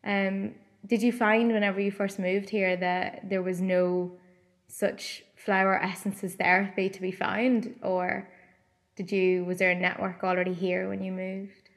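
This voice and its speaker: A woman's voice: mid-range voice, little pitch movement, a little slow. A woman, hosting a podcast and interviewing her guest with eager, attentive questions. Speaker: podcast host.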